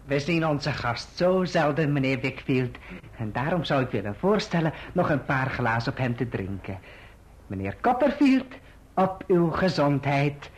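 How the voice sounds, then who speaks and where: An actor in a radio play as a scheming clerk, falsely humble, insistent and brazenly triumphant, with a husky voice. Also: male voice, mid-range voice, very animated, unhurried. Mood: smugly deferential. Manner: oily, mock-deferential, insistent. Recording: muffled old radio play recording.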